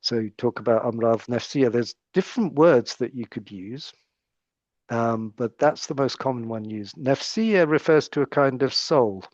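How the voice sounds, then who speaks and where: A man's voice: medium pitch, moderate pace, a little mellow, no room sound, dull audio. A British man speaking as a psychiatrist guest in an interview conversation, explaining his points in a thoughtful way and often restarting his phrases.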